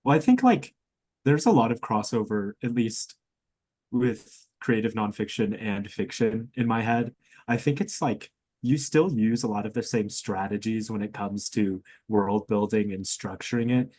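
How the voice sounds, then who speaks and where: A man's voice: on the low side, a little slow, darkish tone, no room sound. A young man in an informal podcast discussion, agreeable and affirming as he talks. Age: young adult.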